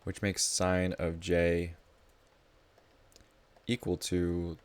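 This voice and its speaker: A man's voice: low-pitched, monotone, very slow, clear, resonant tone. A man tutoring math live in a casual, informal way, thinking aloud as he explains.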